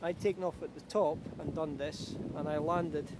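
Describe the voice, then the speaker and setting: A middle-aged man from Kenya, a paragliding instructor, chatting with self-assured quick asides outdoors by a beach. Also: on the high side, fairly even intonation. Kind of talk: casual chat to camera outdoors. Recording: outdoor wind and traffic noise.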